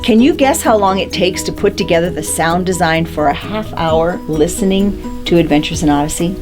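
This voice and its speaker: A woman's voice: fairly low pitch, normal speed, over music. A woman giving an explanatory tour, telling it like a story with theatrical little demonstrations. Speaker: studio tour guide.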